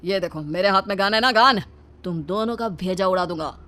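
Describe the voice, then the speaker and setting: A woman voicing a blustering street thief in an animated story, loud and rough-talking, threatening but easily distracted. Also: mid-range voice, moderate pace, a little hiss or hum, lightly acted. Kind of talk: animated moral story dialogue.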